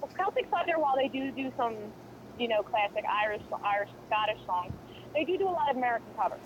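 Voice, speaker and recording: A woman's voice: fairly high pitch, lively intonation, quick pace, muffled recording, slight background noise. A woman co-hosting a podcast, chatting casually and rambling with opinions and fillers. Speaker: podcast co-host. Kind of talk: casual chat.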